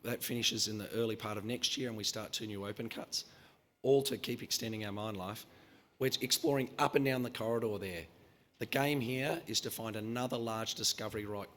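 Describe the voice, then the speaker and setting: A man's voice: lowish voice, fairly bright tone. A man, losing his voice, giving a brash, confident conference presentation as a mining company chief. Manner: brash, confident, quick-fire delivery. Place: conference hall.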